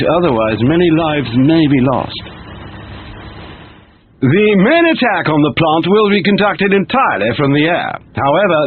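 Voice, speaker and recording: Commander, tinny radio band, faint noise, formal, emphatic, mission briefing. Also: male voice, on the high side. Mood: authoritative. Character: brisk male military commander giving mission briefings. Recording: thin phone-like radio sound, faint noise.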